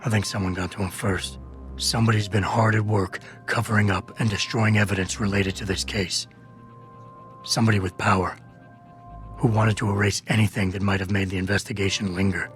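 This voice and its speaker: Video game lead, male actor: augmented security man, brooding, low gravelly register, deadpan and terse. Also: a little fast, soft background music, lightly acted. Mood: grim, guarded. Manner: deadpan, clipped.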